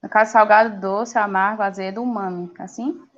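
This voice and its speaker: A woman teaching a science class online, giving firm, brisk directions with affectionate nicknames over a video call. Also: mid-range voice, steady tone. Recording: dull lo-fi call audio.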